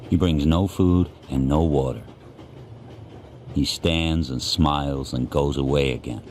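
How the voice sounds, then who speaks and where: Male actor as a big proud dog, extremely low voice, solemn, deliberate, brooding, radio drama narration, faint background noise. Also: steady tone, darkish tone. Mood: vengeful, brooding.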